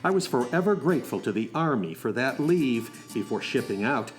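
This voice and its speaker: A man's voice: mid-range voice, expressive, normal speed, faint music behind, lightly acted. A man acting as a young driving instructor and soldier, telling his love story in a wry, folksy way for a radio play. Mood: wry, nostalgic. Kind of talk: first-person radio storytelling with acted dialogue.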